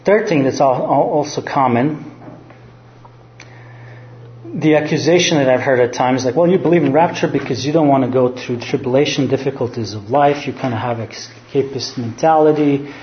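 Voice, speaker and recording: Serbian-accented pastor preaching in a roomy hall. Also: male voice, mid-range voice, moderate pace, lo-fi sound, slight background noise. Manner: earnest teaching, rhetorical questions, answers his own questions. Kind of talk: sermon.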